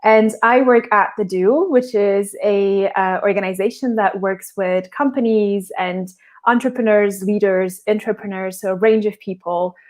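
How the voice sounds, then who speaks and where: A woman from Portugal speaks as an interview guest over a remote call, with a medium, everyday pitch. She explains her work in a friendly, casual way and often restarts her phrases. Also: fairly even intonation, unhurried, darkish tone.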